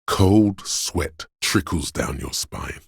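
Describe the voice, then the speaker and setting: A paranoid voice of primal fear inside the head, extremely low and heavily rasping. It narrates a dark video game in the second person, slowly and ominously, as if every detail were a threat. The sound is close and dry. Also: male voice, no room sound, heavily acted. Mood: ominous dread. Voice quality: very deep, gravelly, husky. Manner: ominous, slow, deliberate delivery. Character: menacing, paranoid inner voice of primal fear and aggression.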